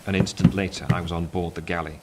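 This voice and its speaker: Low-voiced period narrator. Earnest gentleman, literary first-person storytelling over noisy ambient effects. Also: flat delivery, fast talker. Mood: urgent. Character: earnest Victorian gentleman narrator recalling his past. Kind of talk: first-person dramatic narration, radio play. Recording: clear ambient sound effects behind voice.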